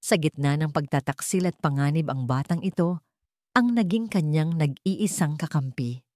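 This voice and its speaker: Low-pitched Filipino woman narrating a dramatic story. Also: normal speed, mellow, dry recording, clean studio sound.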